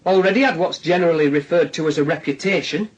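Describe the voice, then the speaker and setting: A high-pitched, husky Northern English police detective in a radio drama. He needles his suspect with insistent questions, tacking on little tags and dry sarcasm. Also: fast talker, highs cut off. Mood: needling. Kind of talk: naturalistic radio drama dialogue.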